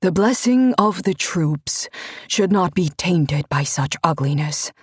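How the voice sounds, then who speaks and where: A woman voicing a calm, measured male official, slow and steady. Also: fairly low pitch, slightly rough, dry recording, exaggerated voice.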